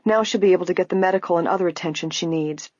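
Woman acting gentle, grateful woman, softly amazed. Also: lowish voice, rapid-fire, dry recording, muffled recording, pitched above the performer's own voice, natural voice. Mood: grateful relief. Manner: soft, breathless wonder. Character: grateful, gentle woman heirs, overwhelmed with relief.

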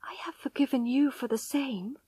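A woman's voice: sits high, moderate pace, fairly bright tone, no room sound, voice pushed up. A woman voicing a distraught, devoted young wife in a dramatic story, pleading and tearful. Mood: hushed pleading. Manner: pleading, breathy whispers rising to anguished cries.

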